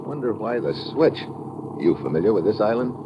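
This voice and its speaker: An actor playing a wry, tough American adventurer in an old-time radio drama, dry and sardonic, on a muffled, noisy vintage recording. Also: male voice, on the low side, big pitch swings, brisk. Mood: wary, irritated. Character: wry, tough American undercover adventurer narrating his own exploit. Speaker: lead actor and narrator.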